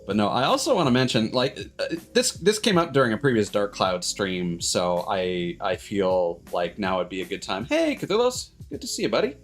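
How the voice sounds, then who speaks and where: Male livestream host, lower register, loose halting chat, light music in the background. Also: sing-song. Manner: rambling, halting, backtracking mid-sentence. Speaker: streamer host. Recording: music playing behind the voice.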